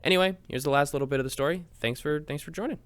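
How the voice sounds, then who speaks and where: Clear-voiced man, casual hobby tutorial. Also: a little high, very fast, fairly bright tone. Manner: informal, chatty, explanatory. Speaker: host of a miniature painting tutorial. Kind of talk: casual step-by-step tutorial narration.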